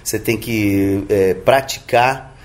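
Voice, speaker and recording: A man's voice: on the low side, takes it slowly. A man from Rio de Janeiro with a Brazilian Portuguese accent, answering interview questions thoughtfully, with many restarts and tag questions. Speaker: interviewed musician.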